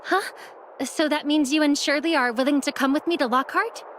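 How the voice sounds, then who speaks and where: A woman voicing an earnest, devout young emissary in an anime game, with a dramatic, expressive delivery. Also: high-pitched, fairly quick, a little hiss or hum. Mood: eager.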